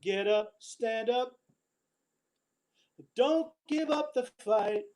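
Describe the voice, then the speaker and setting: A woman's voice: fairly high pitch, very slow, darkish tone. A woman singing a reggae song live and calling for others to join in. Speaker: singer.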